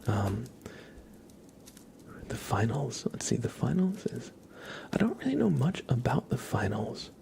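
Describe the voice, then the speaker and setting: A man's voice: medium pitch, sing-song, unhurried, creaky, pauses often, a little mellow, soft background music. A young man whispering casual, rambling chat as the host of an ASMR livestream, often hesitating.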